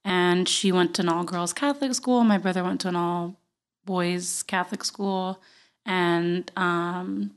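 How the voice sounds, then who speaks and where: A woman's voice: fairly low pitch, clean tone. A woman from Puerto Rico, a podcast guest telling casual, joking stories and acting out remembered dialogue. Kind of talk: casual conversational storytelling.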